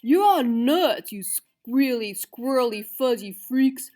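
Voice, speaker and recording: A woman acts out a grumpy prairie dog bully from a storybook. He booms, growls and snarls his orders, mean and bossy. Light music plays along. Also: expressive, slow pace, warm tone. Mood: furious.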